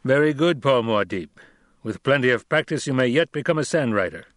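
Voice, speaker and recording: A man's voice: medium pitch, animated, brisk, no echo, studio quality, close to an everyday voice. A man reading as a harsh, commanding desert leader. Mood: approving. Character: stern male desert troop leader, middle-aged, blunt. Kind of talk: audiobook character reading.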